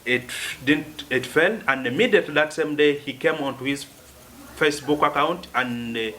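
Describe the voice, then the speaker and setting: A man's voice: a little high, moderate pace, bright, forceful tone, slight background noise. A man with a Ugandan accent answering interview questions as an event organizer, explaining earnestly and repeating himself.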